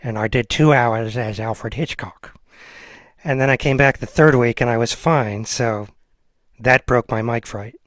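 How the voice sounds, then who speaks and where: A man's voice: medium pitch, unhurried, a bit bright, no echo. A middle-aged man interviewing in a casual, conversational way, sharing his own small stories. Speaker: interviewer, amateur radio enthusiast.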